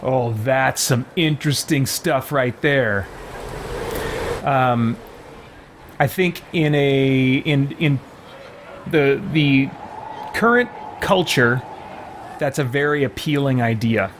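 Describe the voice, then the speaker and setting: A man hosting a let's play, giving casual, reflective commentary in a halting, thoughtful way. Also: medium pitch, background noise.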